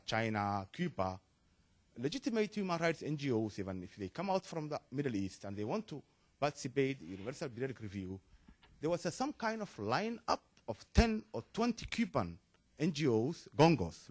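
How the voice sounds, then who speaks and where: A man from Somalia making a spontaneous comment from the floor of a panel, halting and restarting, his emphasis rising as he goes, in a conference hall. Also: medium pitch, big pitch swings, highs cut off. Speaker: audience member asking a question.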